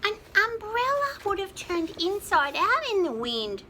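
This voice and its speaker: A high-voiced woman reads a picture book to young children with hugely varied intonation. She takes her time and slips in chatty asides, with faint noise in the background. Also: big pitch swings, measured pace, bright, forceful tone. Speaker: children's storyteller. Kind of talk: expressive read-aloud with chatty asides to small children.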